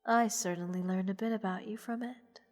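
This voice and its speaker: A woman playing a haughty, bored goddess in a roleplay audio drama, condescending and teasing in a languid, breathy delivery. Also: mid-range voice, fairly even intonation, normal speed, a little mellow, close to an everyday voice. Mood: condescending, analytical. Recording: long reverb, music underneath. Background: orchestral music.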